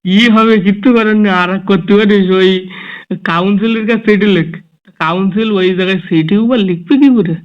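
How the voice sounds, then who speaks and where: High, clear-voiced young man on a call. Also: steady tone, fairly quick. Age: young adult. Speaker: prank caller. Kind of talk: casual phone chat with a friend. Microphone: phone call. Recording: dull, lo-fi phone call recording.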